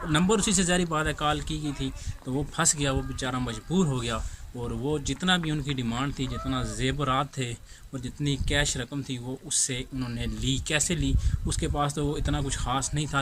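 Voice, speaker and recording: A man with a Pakistani accent tells a story informally as a host, halting and repeating himself, often asking rhetorical questions. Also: mid-range voice. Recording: clearly noisy amateur recording.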